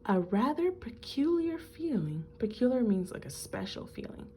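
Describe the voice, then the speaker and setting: A woman reading a picture book aloud to children in an expressive, teacherly way, explaining things simply. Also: on the high side, normal speed, a little hiss or hum.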